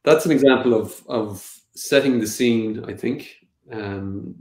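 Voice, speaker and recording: A man's voice: mid-range voice, takes it slowly, darkish tone. A man in his thirties with an Irish accent, a native Irish speaker, answering interview questions on a video call with a lot of hesitation and restarts. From Connemara, west of Ireland. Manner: hesitant, many fillers and restarts. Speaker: film director. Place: home room, seated on a sofa. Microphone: video call webcam. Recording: echoey room.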